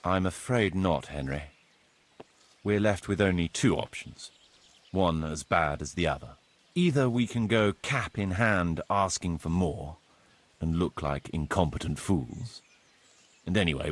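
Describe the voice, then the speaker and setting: An actor playing a grave, pessimistic medieval steward who speaks formally in a video game. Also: male voice, low-pitched, animated, unhurried. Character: male medieval steward bringing counsel, gloomy and pessimistic. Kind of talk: video game cutscene acting.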